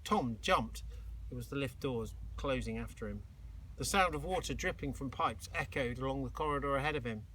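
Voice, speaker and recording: A man reading a children's storybook aloud like a teacher, expressively and dramatically, with suspenseful pauses. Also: medium pitch, fairly bright tone, a little hiss or hum, rough recording. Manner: dramatic, with exclaimed sound effects and suspenseful pauses.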